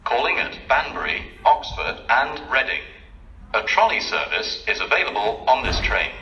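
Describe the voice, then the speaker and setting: A man gives formal station announcements in stitched phrases with a very bright, forceful voice. His pitch moves a lot, and the public address speaker sounds distant and echoing. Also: highs cut off. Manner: formal, segmented pre-recorded phrases.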